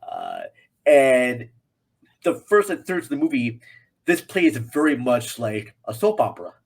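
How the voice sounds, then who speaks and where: A man speaking as a guest reviewer in a casual film discussion, halting and repeating himself for emphasis. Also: medium pitch, wildly swooping pitch, vocal fry, slightly rough.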